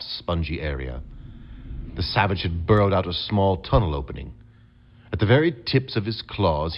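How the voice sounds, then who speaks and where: Very deep-voiced male storybook narrator. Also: dull audio. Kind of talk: scripted storybook narration for an audio adventure game.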